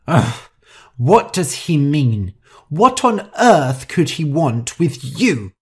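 A woman's voice: low-pitched, animated, slow, a little mellow, pitched above the performer's own voice, heavily acted. A woman voicing a haughty, vain, hot-tempered older heiress in an audiobook story, with an imperious, theatrical manner. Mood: annoyed, demanding.

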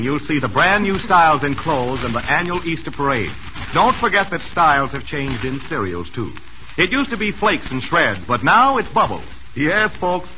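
A man's voice: fairly high pitch, bright, forceful tone. An American man announcing a 1940s radio commercial with polished, emphatic salesmanship. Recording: noisy vintage radio broadcast recording.

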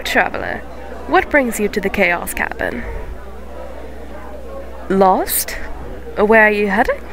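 A woman acts out a young neko witch running a fantasy tavern. She is gentle and motherly, with courteous, formal wording. Her soft ASMR roleplay delivery moves slowly, at an ordinary female pitch. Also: rough recording. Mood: welcoming, polite. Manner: soft-spoken, formal, unhurried phrasing. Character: gentle eighteen-year-old neko witch tavern keeper, motherly, polite.

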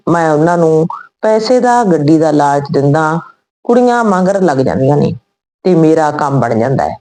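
A woman's voice: fairly low pitch, dark tone, low-quality recording. A woman storyteller voicing a worried young woman who confides her regret in a troubled way.

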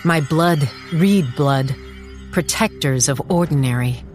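A woman's voice: low voice, slow pace, darkish tone, faint music behind. A woman playing a determined, wry small-town police chief who narrates a dramatized audiobook in a firm, dry way. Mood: intense, foreboding. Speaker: narrator and lead character.

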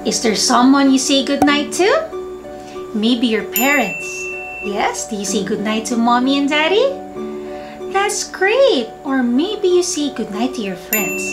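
A woman teaching young children, talking in a slow, sing-song, encouraging way and reading a picture book aloud. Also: high-pitched. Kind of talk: children's lesson and storybook reading.

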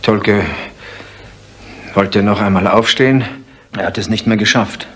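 Casting: tense witness, lowish raspy voice, emphatic, repeating himself, retro radio play. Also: male voice, steady tone, unhurried. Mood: insistent. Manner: emphatic, repetitive. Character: tense male eyewitness insisting on his story. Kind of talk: 1970s German radio crime drama acting.

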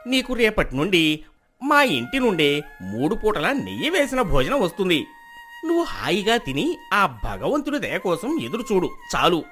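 An actor playing a miserly, crafty village husband who feigns devotion, speaking with theatrical emphasis in a moral tale. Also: male voice, high-pitched, normal speed, soft background music, exaggerated voice. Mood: falsely generous. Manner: animated, emphatic, theatrical storybook dialogue.

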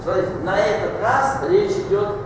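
A man's voice: very high-pitched, very animated, normal speed. An older man teaching at a blackboard, explaining step by step in an instructive way, with blunt corrections and short commands. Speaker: mathematics teacher. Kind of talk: explaining a geometry problem at the blackboard. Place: classroom with a large blackboard. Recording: echoey hall, distant mic, noisy room.

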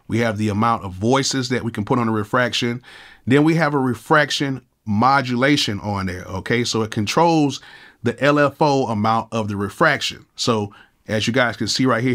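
A man in his thirties with a cold and a nasal voice, casually walking through music software in a laid-back, slangy way from his home studio. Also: medium pitch. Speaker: music producer and YouTube host.

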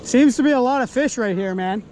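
A young man fly fishing outdoors while wading in a river, excitedly commenting on each catch into a body-worn action camera. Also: very high voice, a little fast, a little hiss or hum. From Canada. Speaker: fly-fishing angler and host. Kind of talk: casual outdoor vlog commentary.

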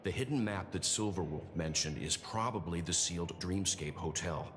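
A man playing a grave, composed investigator and strategist in scripted game dialogue, speaking in a measured, deliberate way. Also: deep voice, fairly bright tone. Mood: grave warning. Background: game soundtrack music.

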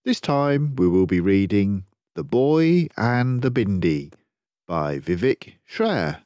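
An older man reading a children's picture book aloud in an expressive, measured, deliberate way. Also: lowish voice, no room sound, muffled recording. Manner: measured, deliberate, rhyming delivery.

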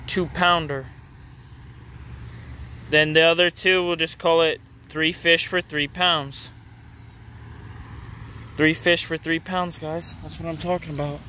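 A young man narrates his catches straight into a handheld phone beside a pond. His voice sits a bit high and stays fairly flat, relaxed and offhand. Faint outdoor traffic noise runs underneath. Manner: casual, upbeat, talking to viewers between catches. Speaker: fishing vlogger. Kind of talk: casual handheld fishing vlog. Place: outdoors at a city pond edge. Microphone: phone camera held in hand.

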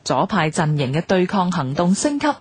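A woman radio presenter with a Hong Kong Cantonese accent, reading a scripted documentary in a formal, measured way. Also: fairly low pitch, big pitch swings, husky, dry recording.

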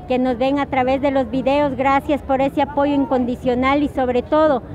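A Guatemalan woman in her forties talking warmly in a street interview, giving thanks and sending greetings, recorded outdoors on a busy city square. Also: sits high, level tone, normal speed. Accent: Guatemalan Spanish. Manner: warm, grateful, sending blessings and greetings. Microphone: clip-on mic, phone camera close. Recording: slightly dull sound, loud crowd behind. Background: crowd chatter.

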